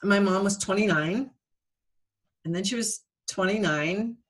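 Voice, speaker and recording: Middle-aged woman podcast host, mid-pitched, earnest storyteller with a playful streak. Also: a little slow, low-quality recording. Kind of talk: casual reflective chat.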